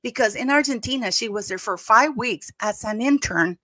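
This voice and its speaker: A woman's voice: fairly high pitch, normal speed, a bit bright, dry recording. Emphatic woman with a Spanish accent. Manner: emphatic, indignant, repeats words for stress. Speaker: commentary channel host. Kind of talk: opinionated casual commentary.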